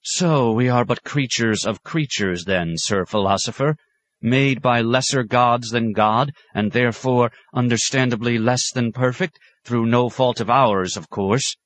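A man's voice: mid-range voice, a little slow, fairly bright tone, no echo, voice pushed up, close to an everyday voice. A man voicing an older male abbot who speaks sternly with biting sarcasm, in an audiobook. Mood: sarcastic.

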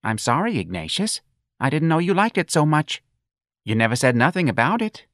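A man's voice: mid-range voice, very animated, normal speed, fairly bright tone, no room sound, clean studio sound, voice pushed up. A man voicing a plain-spoken older working-class mother in a colloquial, plaintive manner for an audiobook. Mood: plaintive, fussing.

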